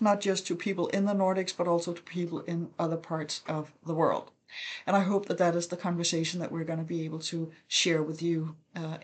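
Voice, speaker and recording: A woman hosting a podcast, introducing her guests in a measured way with frequent fillers. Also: mid-range voice. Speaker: podcast host.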